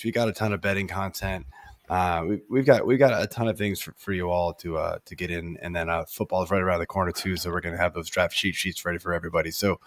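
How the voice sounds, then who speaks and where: A man hosts a casual fantasy baseball chat with a voice worn down from losing it. He speaks in a lowish register, easygoing and rambling, full of fillers. The sound is close and dry. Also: no room sound. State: losing his voice. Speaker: podcast host.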